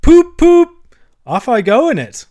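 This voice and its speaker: A man voicing a vain, excitable male toad from a storybook, stubborn and gushing in delivery. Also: very high-pitched, unhurried, pitched above the performer's own voice, heavily acted. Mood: gleeful, emphatic. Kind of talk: storybook character voice.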